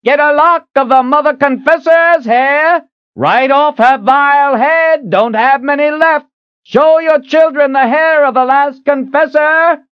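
A man's voice: very high-pitched, animated, normal speed, no room sound, voice pushed up. A man voicing a greedy, frantic male street hawker who bellows his wares. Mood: bellowing. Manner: bellowing, shouting. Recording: dull lo-fi audio.

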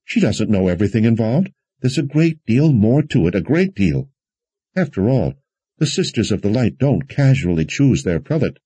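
A man's voice: expressive, takes it slowly, few pauses, dark tone, husky, dry recording, heavily acted. A man voicing a fretful, protective woman healer in an audiobook fantasy story, anxious and rambling. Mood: anxious, reassuring. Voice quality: shrill.

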